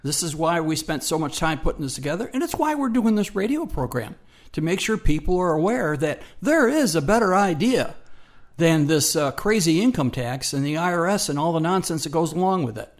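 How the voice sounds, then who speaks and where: Higher-pitched, emphatic male radio co-host. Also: sing-song, fairly quick. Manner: emphatic, repeats phrases. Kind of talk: conversational radio commentary.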